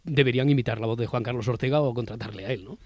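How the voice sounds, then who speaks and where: A man's voice: medium pitch, rapid-fire, dry recording, clean studio sound. A man with a Castilian Spanish accent hosting a discussion in a conversational way. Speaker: host of a discussion podcast. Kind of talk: conversational moderating.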